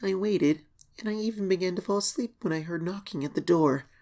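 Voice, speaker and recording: A woman voicing an anxious young pony filly, reading her diary as an expressive, hesitant horror story narration. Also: on the low side, fairly quick, gravelly. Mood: worried. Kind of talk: dramatic first-person horror story reading.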